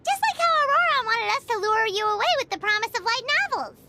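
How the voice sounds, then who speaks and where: A woman voicing a small, chirpy, excitable childlike fairy companion in an animated video game. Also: very high-pitched, quick pace, heavy background noise. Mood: eager. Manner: refers to self in third person, exclaiming. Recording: music playing behind the voice.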